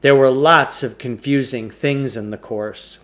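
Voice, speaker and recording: A man's voice: medium pitch, fairly even intonation, unhurried, fairly bright tone. A man reading a children's novel aloud as a storybook narrator.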